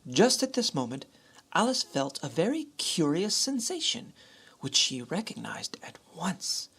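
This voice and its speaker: A woman with a husky, breathy voice narrating a storybook tale for a radio play. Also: sits low, big pitch swings, measured pace, a bit bright.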